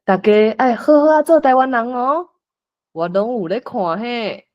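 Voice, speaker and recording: A woman with a Taiwanese accent voicing a kindly guardian bodhisattva in a folk-tale play, with drawn-out storytelling delivery. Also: on the high side, animated, lo-fi sound, voice pushed up. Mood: benevolent, solemn.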